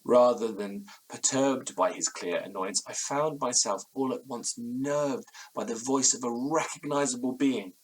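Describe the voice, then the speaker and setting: An actor playing an anxious, haunted gentleman narrating a period ghost story in florid, formal, faltering language. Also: medium pitch, a little slow, breathy edge, poor audio. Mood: tense, relieved. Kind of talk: dramatic first-person horror narration in audio drama.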